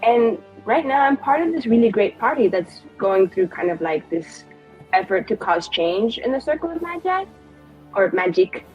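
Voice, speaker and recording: A woman's voice: fairly high pitch, very animated, moderate pace, highs cut off, soft background music. A woman playing a confident, spiteful female elven mage in a tabletop game, brash and foul-mouthed in manner. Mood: defiant.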